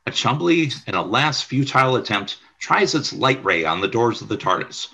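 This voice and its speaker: A man's voice: medium pitch, sing-song, moderate pace, vocal fry, bright, forceful tone, husky, muffled recording. A man narrating a radio play from a script, describing the action.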